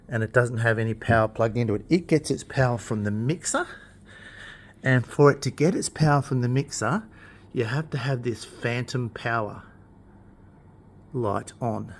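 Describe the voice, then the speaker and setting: Man giving an informal hands-on tutorial. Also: mid-range voice. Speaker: instructor demonstrating PA equipment.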